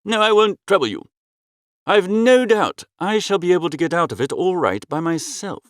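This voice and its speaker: Animated high-voiced man narrating a comic audiobook. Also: normal speed, dry recording, clean studio sound. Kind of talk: expressive first-person comic storytelling.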